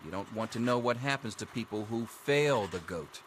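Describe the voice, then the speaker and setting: An actor playing a dry, sarcastic schoolteacher running an exam in a video game. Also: male voice, on the low side, lively intonation, moderate pace, slight background noise, lightly acted. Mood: dry, dismissive. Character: sardonic male vault schoolteacher giving an exam. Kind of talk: scripted video game character dialogue.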